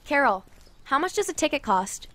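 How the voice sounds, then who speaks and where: A woman's voice: high-pitched, big pitch swings, normal speed, a bit bright. A woman playing a strained, scolding mother in an audio drama.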